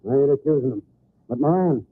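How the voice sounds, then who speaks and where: A man's voice: mid-range voice, fast talker, slightly rough, close to an everyday voice. Man acting a domineering bandit boss, tinny radio. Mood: menacing. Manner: threatening, blunt, drawling cowboy talk. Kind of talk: old-time radio western acting. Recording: tinny, noisy old radio recording.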